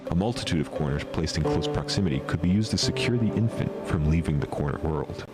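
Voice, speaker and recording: A man playing an obsessed researcher, narrating analog horror in a measured, formal, clinical way. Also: extremely low pitch, lively intonation, loud music behind the voice. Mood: clinical, fascinated. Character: obsessed male researcher documenting otherdimensional creatures at home.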